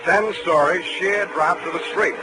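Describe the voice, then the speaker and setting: A man playing a wry, hard-boiled private detective, narrating in deadpan wisecracks in a 1940s radio drama. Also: sits high, a little slow, low-quality recording. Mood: tense, wry. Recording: old 1940s radio broadcast, music behind.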